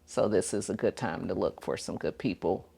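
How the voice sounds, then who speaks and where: Woman, heavy creak, interview guest, conversational, plain matter-of-fact answers. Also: extremely low pitch, normal speed, dark tone. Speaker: police dispatch staffer, interview guest.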